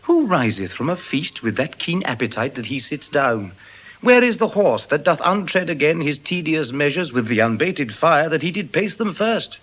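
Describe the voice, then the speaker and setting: Swooping, witty gentleman. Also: fairly high pitch, big pitch swings, moderate pace. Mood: wry, expansive. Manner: rhetorical, flourishing. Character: witty, worldly, talkative gentleman of Venice. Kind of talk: classical Shakespearean verse acting.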